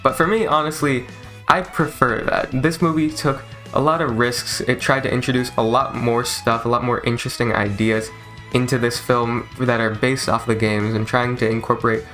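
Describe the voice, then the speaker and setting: A man's voice: mid-range voice, steady tone, normal speed, a bit bright. A man giving a casual, rambling movie review in an informal, enthusiastic, sweary way, like a YouTuber. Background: video game soundtrack music.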